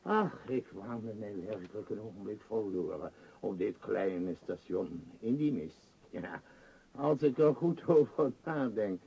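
A man's voice: fairly low pitch, expressive, a little slow, vocal fry, mellow, a little hiss or hum. A man acting an imperious, absent-minded billionaire tycoon in a radio play, rambling and hesitant in a self-important way. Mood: reminiscing. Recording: muffled, dull radio play recording.

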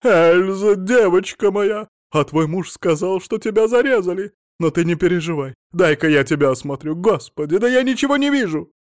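A shrill, panicky old mother-in-law, as the male reader puts her on, shrieks in a very high, loud voice. It is a storybook turn with dry, close sound. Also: lively intonation, slow, hardly any pauses, no echo. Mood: frantic.

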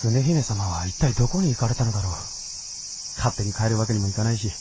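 An actor playing a polite, anxious young shape-shifting fox in human form, speaking earnestly in a radio drama. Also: male voice, fairly low pitch, expressive, noisy background, lightly acted. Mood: wondering. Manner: earnest, polite, quick to exclaim. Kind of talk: Japanese radio drama acting.

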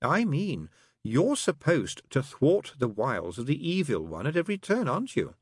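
A man's voice: high voice, huge pitch swings, slightly rough, no echo, clean studio sound, pitched above the performer's own voice, exaggerated voice. Man doing a sly wheedling demon. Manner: wheedling, insistent, drawn-out. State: tipsy. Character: sly persuasive male demon, tipsy.

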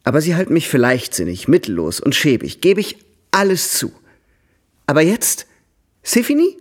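A man's voice: on the high side, wildly swooping pitch, normal speed. A man in a radio drama playing a cheerful, self-mocking young suitor with a witty, eloquent way of talking. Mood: pleading, playful.

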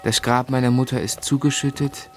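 A man's voice: mid-range voice, steady tone, moderate pace, natural voice. A man playing an adult looking back on his childhood, narrating a literary radio play in the first person. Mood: sombre, reflective. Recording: faint music behind.

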